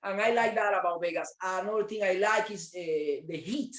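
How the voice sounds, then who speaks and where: A man's voice: high-pitched, moderate pace, a bit bright, echoey room. A man from Cuba, a business owner answering interview questions earnestly, repeating words as he searches for them. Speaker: martial arts studio owner.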